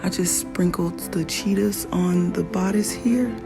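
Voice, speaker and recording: Raspy slow-talking woman. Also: fairly low pitch. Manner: chatty, admiring, laughs often. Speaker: fashion designer vlogger. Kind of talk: casual show-and-tell vlog. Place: workshop in Arizona. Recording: music playing behind the voice.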